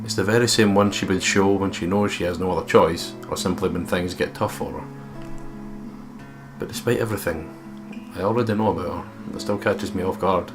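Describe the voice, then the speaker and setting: Let's play host, male, from the UK, deep voice, reads visual novel text casually over music. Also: steady tone, a little fast. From United Kingdom. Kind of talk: reading game narration aloud with casual asides. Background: game music.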